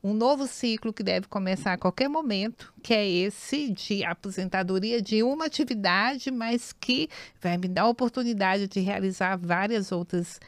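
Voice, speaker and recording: A Brazilian woman in her fifties speaks as an interview guest, telling personal stories conversationally. Her voice is smooth and clean at a medium pitch, recorded dry. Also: moderate pace, few pauses, no room sound. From São Paulo and Goiânia, Brazil. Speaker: podcast guest, nurse and acupuncturist.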